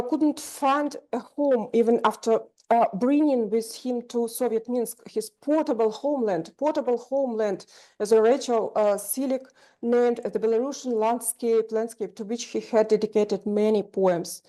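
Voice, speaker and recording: Female presenter reading her paper, mellow voice, higher pitch, hesitant delivery. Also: low-quality recording. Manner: halting, with many "uh"s. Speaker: conference presenter. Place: conference room.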